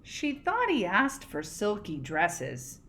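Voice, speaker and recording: A woman reading a picture book aloud expressively, pausing to explain words and ask questions. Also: medium pitch, wildly swooping pitch, fairly bright tone. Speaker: read-aloud storyteller, teacher.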